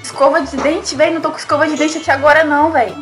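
A young Brazilian woman with a bright, high voice, firing off sarcastic jabs and playful teasing at strangers on a video chat. She speaks in a Brazilian Portuguese accent into a laptop webcam mic in her home kitchen. Faint music plays behind her. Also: fairly even intonation. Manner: teasing, sarcastic, quick banter. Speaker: YouTuber.

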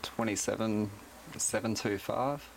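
A man's voice: fairly low pitch, steady tone, unhurried, creaky, background noise. A man giving a casual, unscripted demonstration of his radio gear as a hobbyist, recorded indoors on a camera microphone. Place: indoors at a desk, behind the camera.

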